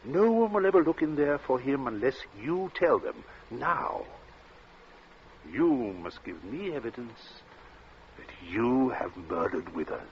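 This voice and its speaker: A suave, menacing captain, swooping intonation. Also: male voice, mid-range voice, very animated. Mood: coldly threatening. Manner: suave, measured, hesitant asides, polite menace. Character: cold, urbane male captain coolly menacing a guest. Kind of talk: old-time radio thriller acting. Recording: dull, noisy vintage radio recording.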